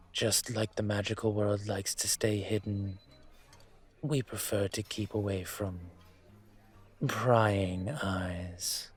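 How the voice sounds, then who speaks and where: A man acts out a dragon mafia boss in human guise for an intimate audio roleplay. His voice is lowish and breathy, and he speaks very slowly and deliberately, with a commanding, teasing charm.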